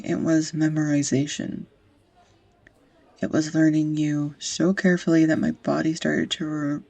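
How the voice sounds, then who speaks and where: A young woman acting a tender, easily flustered sweetheart in an intimate romantic audio roleplay, with a husky, breathy, hesitant voice. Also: deep voice, a little mellow, heavily acted, rough recording. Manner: hesitant, confessional, breaking into whispers.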